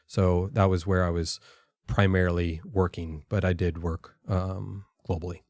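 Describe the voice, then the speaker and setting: Low-voiced man, careful and halting. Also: flat delivery, a little mellow, no echo, studio quality. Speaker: podcast guest, former intelligence officer. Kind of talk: reflective interview answers.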